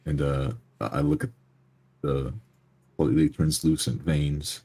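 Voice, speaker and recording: Extremely deep, husky man narrating his character. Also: sing-song, dark tone. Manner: halting, deliberate. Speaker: tabletop roleplay player. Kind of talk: first-person character narration in a tabletop game.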